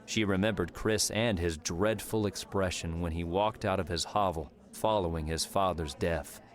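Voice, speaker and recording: A man narrating a novel as an audiobook, in a measured storytelling manner. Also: low-pitched, steady tone. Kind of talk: scripted fiction narration.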